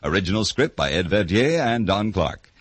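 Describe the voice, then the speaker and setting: Lively low-voiced radio announcer man. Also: normal speed, husky, no room sound. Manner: formal, polished. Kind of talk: scripted 1950s network announcing.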